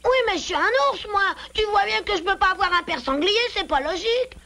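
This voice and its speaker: A woman's voice: very high-pitched, lively intonation, brisk, creaky, sharp tone, full character voice. A woman voicing a naive, affectionate little boy bear cub in a French cartoon, childlike and earnest. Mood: reluctant. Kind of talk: cartoon dub acting.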